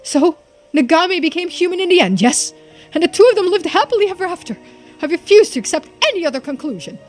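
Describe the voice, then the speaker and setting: A woman voicing a florid, well-spoken romantic gentleman in a video game, with formal, dramatic delivery. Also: very high-pitched, lively intonation, fast, faint music behind. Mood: enraptured. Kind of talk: video game dialogue read aloud in character.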